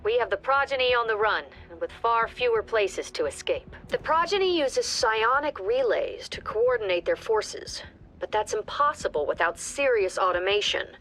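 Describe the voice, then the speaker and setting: A woman playing an authoritative squad commander, giving measured, clipped briefings in a video game. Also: on the high side, animated, fairly bright tone, poor audio. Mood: composed, authoritative. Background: prominent music.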